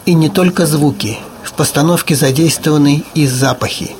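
A man's voice: a little high, normal speed, noisy background. A man narrating a scripted radio report as the reporter.

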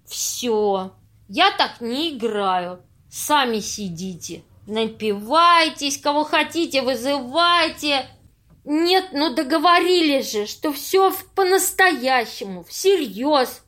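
A woman's voice: high-pitched, animated, takes it slowly, a bit bright, exaggerated voice. A woman acting an earnest, anxious and strict character in an amateur audio play, speaking in a fretful, reproving way. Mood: indignant.

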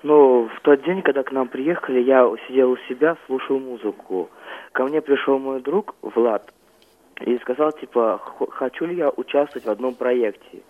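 Teenage boy on a muffled phone line. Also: medium pitch. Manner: halting, reserved, often says "like". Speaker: young amateur playwright, phone-in guest. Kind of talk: hesitant interview answers. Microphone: telephone.

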